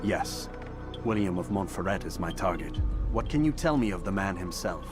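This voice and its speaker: A low-pitched, solemn young assassin from a video game, proud and questioning. He speaks in stiff, formal phrases, with music playing behind his voice. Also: expressive, normal speed. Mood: terse. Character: proud, doubting young medieval assassin, earnest and defiant. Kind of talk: video game cutscene dialogue.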